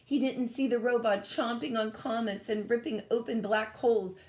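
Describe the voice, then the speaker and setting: A woman reading a storybook aloud to children in a dramatic, emphatic, expressive way. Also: a little high, moderate pace. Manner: dramatic, emphatic, engages listeners with questions. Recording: echoey room.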